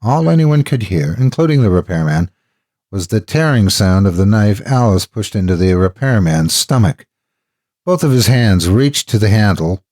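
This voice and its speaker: A man's voice: fairly low pitch, darkish tone. A man narrating scripted horror stories dramatically as host, close to the microphone.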